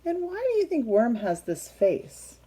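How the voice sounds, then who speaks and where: Slow, mellow woman reading to young children. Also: a little high, slightly rough. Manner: expressive, prompting, teacherly. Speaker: picture book reader for young children. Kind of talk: children's read-aloud with questions to the listeners.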